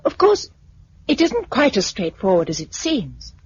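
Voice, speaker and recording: A pragmatic young British woman in love, resolute and expressive in a 1950s radio drama. Her voice is slightly husky and moves freely in pitch. The recording is dull and dated. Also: unhurried, full character voice. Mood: teasing, firm.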